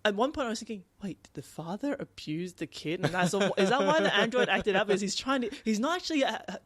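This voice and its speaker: A woman's voice: medium pitch, expressive, fast talker, no room sound. A woman co-hosting a podcast, telling stories casually and acting out her own thoughts as she goes. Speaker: podcast co-host. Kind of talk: casual conversational storytelling.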